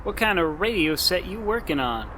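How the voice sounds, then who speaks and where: Radio play: male actor as suspicious, hostile neighbor, high voice, folksy accusing delivery, slight background noise. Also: very animated, moderate pace. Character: belligerent, suspicious male neighbor turning vigilante.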